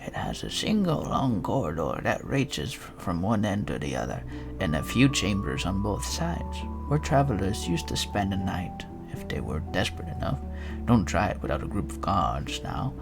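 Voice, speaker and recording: A man's voice: sits low, sing-song, normal speed, gravelly, music in the background, exaggerated voice. A man voicing an elderly, matter-of-fact village woman with folksy, rustic phrasing in a fantasy game reading. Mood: grave, measured. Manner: folksy phrasing with tag endings.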